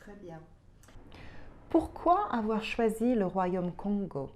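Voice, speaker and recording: A woman's voice: medium pitch, slow pace, darkish tone. A woman interviewer asking short, direct questions, recorded from some distance.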